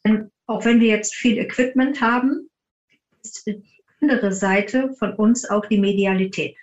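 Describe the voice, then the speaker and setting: A woman's voice: medium pitch, measured pace, lo-fi sound. A woman speaking as a podcast guest, explaining her work in detailed, conversational answers. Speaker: podcast guest, paranormal investigator.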